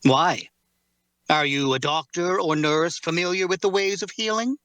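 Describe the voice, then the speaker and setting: Man playing a bright-voiced, composed, menacing captor. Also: a little high, clear, resonant tone, no room sound. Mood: firm, condescending. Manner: measured, formal, precise phrasing. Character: composed, faintly menacing male captor tending his prisoner. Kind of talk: audio drama table read.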